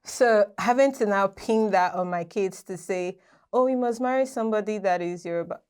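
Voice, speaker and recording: A woman's voice: mid-range voice, moderate pace, clean tone. A young woman from Nigeria, a guest on a podcast, chatting casually and laughing as she tells stories. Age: young adult. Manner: lively, laughs while telling stories. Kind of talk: casual interview chat.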